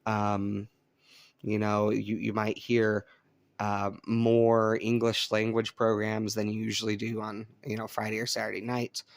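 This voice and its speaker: A man from Colorado hosting a relaxed show-and-tell about his radio gear, easygoing and chatty with frequent ums, speaking indoors at home. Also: lowish voice, unhurried, dry recording. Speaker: radio hobbyist channel host. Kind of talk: casual show-and-tell demonstration.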